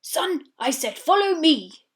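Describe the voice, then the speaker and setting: A woman's voice: very high-pitched, sing-song, takes it slowly, a bit bright, pitched above the performer's own voice, exaggerated voice. A woman voicing a big, fat, bossy male walrus who gives stern orders in a storybook reading. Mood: stern, commanding.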